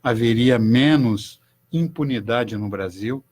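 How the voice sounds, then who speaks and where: An older man, a judge speaking in a formal, measured way as a guest on a radio talk show. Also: mid-range voice, darkish tone, husky.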